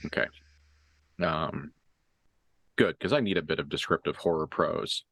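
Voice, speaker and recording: A man acting as game master, narrating a tabletop horror game in halting, deliberate, descriptive phrases. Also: a bass voice, fairly bright tone, no echo. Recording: music playing behind the voice.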